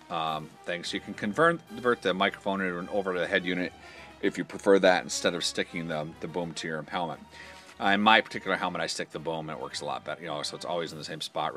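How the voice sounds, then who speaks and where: A man's voice: sits low, bright, forceful tone. A man casually explaining a product step by step in an informal hands-on demonstration, pointing out parts as he goes.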